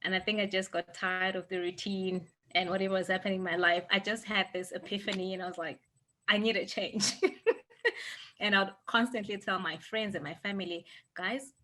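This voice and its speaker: A young woman from Zimbabwe, a guest telling her story in a relaxed, animated way and laughing easily. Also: medium pitch, normal speed. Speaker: interview guest. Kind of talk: casual storytelling.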